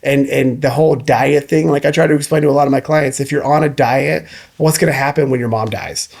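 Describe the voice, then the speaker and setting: A man in his early thirties talking as a nutritionist guest on a casual podcast, animated and easily exasperated, calling people dude. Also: medium pitch, a little fast, vocal fry. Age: 30s.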